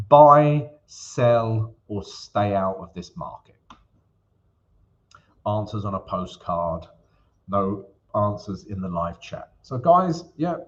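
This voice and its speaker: A man hosting a live trading stream, chatty and casual, checking in with the audience as he walks through charts. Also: mid-range voice. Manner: chatty, peppers lines with "yeah?" and "guys". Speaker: forex livestream host. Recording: slightly dull livestream audio.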